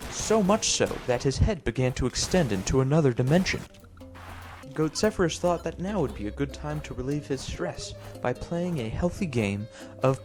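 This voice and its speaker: A man improvising a mock-storybook narration over gameplay in a mock-serious, deadpan way. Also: mid-range voice. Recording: music behind the voice. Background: clear background music.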